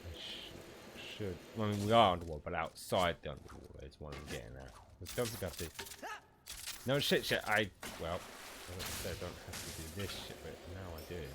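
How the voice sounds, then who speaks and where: A man's voice: sits low, expressive, brisk, noisy background. A man with a stuffy, cold-congested voice, giving rambling, casual commentary while streaming a video game and often swearing.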